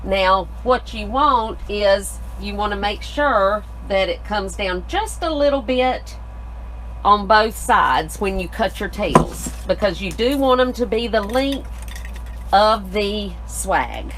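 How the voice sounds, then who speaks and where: A woman's voice: mid-range voice, lively intonation, takes it slowly, background noise. A middle-aged woman co-hosting a live craft tutorial in an indoor studio, affirming her partner and explaining steps plainly. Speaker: co-host, craft designer.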